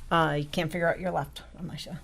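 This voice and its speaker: A woman's voice: low voice, level tone, quick pace, gravelly. A woman in her fifties co-hosting a casual chat, laughing often and steering the talk with questions. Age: 50s. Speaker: co-host.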